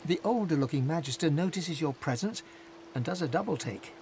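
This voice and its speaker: An actor narrating a fantasy video game in a measured, literary, solemn style. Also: male voice, on the high side, lively intonation, a little mellow, slight background noise, lightly acted. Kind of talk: scripted narration.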